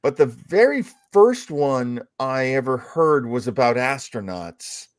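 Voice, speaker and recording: Chatty man with a lively, dry-sounding delivery. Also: medium pitch, measured pace, no room sound. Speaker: host of a live panel show. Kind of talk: casual chat.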